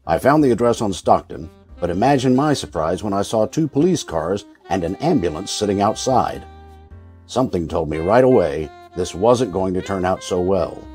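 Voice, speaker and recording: Male actor as noir detective narrator, world-weary and wry, deep, relaxed voice, old-time radio drama. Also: moderate pace, faint music behind. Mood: dry, sardonic. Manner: dry, sardonic.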